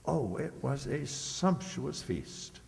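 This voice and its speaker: A man's voice: mid-range voice, sing-song, takes it slowly, gravelly, a little mellow, a little hiss or hum. A man narrating a scripted children's radio story as a theatrical storyteller. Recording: reverberant hall sound.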